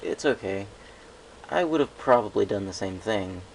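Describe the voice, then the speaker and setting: A man's voice: fairly low pitch, lively intonation, moderate pace, gravelly. A man voicing a shy, polite young male pony who speaks hesitantly, in a story reading. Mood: resigned. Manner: hesitant, soft-hearted. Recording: noisy home recording.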